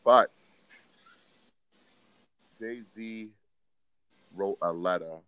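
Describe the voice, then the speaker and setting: An American man chatting casually as a music podcast co-host, slangy and measured, with a teasing streak. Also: low voice, no echo, dull audio. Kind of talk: casual hip-hop debate chat.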